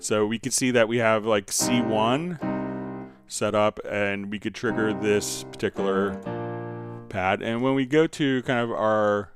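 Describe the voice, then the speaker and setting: A man hosting a live stream, casually explaining software step by step while he demonstrates it. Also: fairly low pitch, fairly even intonation, measured pace, over music.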